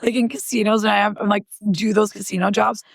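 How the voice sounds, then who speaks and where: A woman stand-up comedian with a scratchy voice, telling animated stories in casual chat. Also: medium pitch, quick pace, vocal fry, no echo, studio quality. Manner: animated, laughing storyteller.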